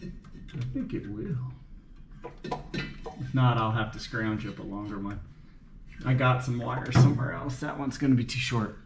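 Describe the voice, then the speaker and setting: Male DIY mechanic, mid-pitched, thinking aloud in clipped fragments as he works; echoey space, faint noise. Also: a little slow, pauses often. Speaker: car mechanic making a repair video. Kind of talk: unscripted talking to himself while working on an engine. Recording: roomy echo, light background noise.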